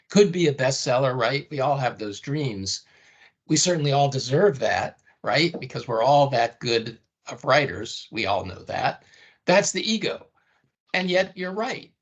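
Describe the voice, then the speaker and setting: A man co-hosting a podcast interview, asking reflective questions in a wry, conversational way. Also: medium pitch. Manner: reflective, wry, asks with "right?". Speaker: podcast co-host.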